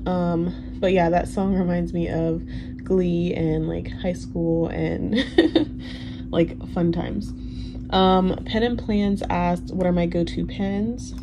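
A young woman in her twenties whose first language is Haitian Creole, chatting casually in a relaxed, rambling way full of ums and likes. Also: on the low side, slow pace. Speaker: planner vlogger. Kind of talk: casual Q&A chat over a plan-with-me. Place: indoors at a desk. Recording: audible background noise.

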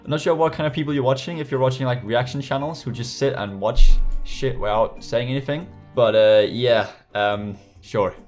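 A man's voice: medium pitch, normal speed, hardly any pauses, darkish tone, soft background music. A young man from Denmark with a slight Danish accent, reading comments aloud in his bedroom with sarcastic, laughing asides and lots of restarts. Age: young adult. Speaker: YouTuber. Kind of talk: casual vlog reading comments. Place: small bedroom, indoors. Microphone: USB desk mic.

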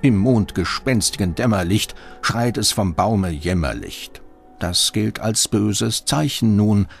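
A man reciting rhymed poetry in a measured way. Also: fairly low pitch, expressive, gravelly, husky, faint music behind.

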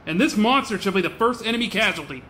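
A man's voice: high-pitched, lively intonation, quick pace, pushed and bright, a little hiss or hum. A man playing a pompous, indignant mayor who orates like a grandstanding politician in a comic-book audio drama. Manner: oratorical.

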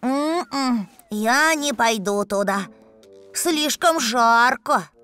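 A woman's voice: on the high side, lively intonation, extremely slow, sharp tone, smooth, soft background music, full character voice. A woman voicing a candid, slightly fussy little boy in a children's cartoon. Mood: reluctant. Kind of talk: children's animation dub.

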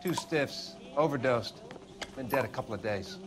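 An actor playing a dry, impatient 1940s crime scene official in a video game, speaking tersely. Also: male voice, mid-range voice, moderate pace, close to an everyday voice. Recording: music behind the voice.

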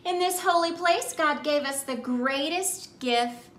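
Lady reading as a devout storybook dad, earnest and reverent, high bright voice. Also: takes it slowly, reverberant, slight background noise. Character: devout father earnestly teaching his young son.

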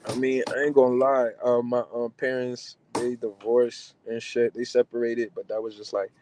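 A man's voice: medium pitch, flat delivery, moderate pace, dark tone, a little hiss or hum, poor audio. A young man from New Jersey talks with a guest's easy, slangy looseness, trailing into fillers and restarts. He speaks on a video call from inside a car, the sound coming through a phone held at arm's length. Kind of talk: casual chat. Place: car interior. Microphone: phone camera at arm's length on video call.

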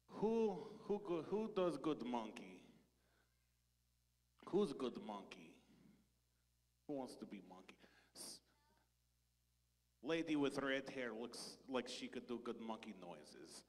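A man playing a bumbling foreign male ex-scientist in a church skit, speaking broken English in a deadpan, sighing way. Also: medium pitch. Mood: playful. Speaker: children's skit performer. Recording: long hall reverb.

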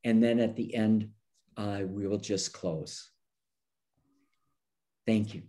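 Older male moderator for an online nonprofit event, mellow and slow-paced, informal delivery. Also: lowish voice, steady tone. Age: older, 60s to 70s. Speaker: moderator, nonprofit founding director. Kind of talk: informal closing remarks to an online gathering. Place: small home office, indoors, on a video call.

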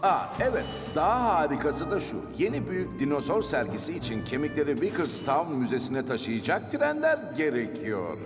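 A man's voice: fairly high pitch, huge pitch swings, moderate pace, hardly any pauses, big-room sound. A man voicing a grown-up train engine in a children's cartoon, a reassuring leader with a cheerful, instructive manner. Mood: enthusiastic, instructing. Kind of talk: children's cartoon dub acting. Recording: music under the dialogue.

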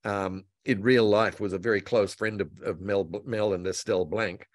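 An older man reminiscing casually as a guest, in a halting, meandering, enthusiastic way. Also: on the low side, fairly even intonation, dry recording, clean studio sound. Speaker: guest voice actor and author. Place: indoor room at home, on a video call. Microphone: video call.

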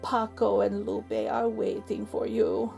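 A woman's voice: medium pitch, little pitch movement, slow, gravelly, a little mellow, soft background music. A woman voicing a kindly, wise old woman in a children's storybook, soothing and reassuring. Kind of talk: children's storybook read-aloud.

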